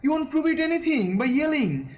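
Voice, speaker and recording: A man's voice: very high-pitched, big pitch swings, brisk, darkish tone. A young man, a student actor playing an irascible, condescending older landowner and father in an amateur audio drama. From India. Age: young adult. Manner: blustering, condescending.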